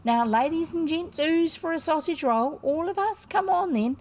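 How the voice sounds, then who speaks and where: A woman's voice: high voice, animated, normal speed, a little mellow, a little hiss or hum, pitched above the performer's own voice. A woman voicing a boisterous, jovial working-class father in a children's storybook, colloquial and full of exclamations. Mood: hearty, festive. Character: boisterous, hearty working-class father just back home. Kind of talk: children's storybook character reading.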